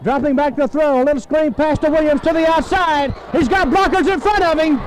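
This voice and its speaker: High-pitched radio football announcer, a man. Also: fairly quick. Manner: excited, emphatic calling in short phrases. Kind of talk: live football play-by-play commentary. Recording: 1970s AM radio sports broadcast.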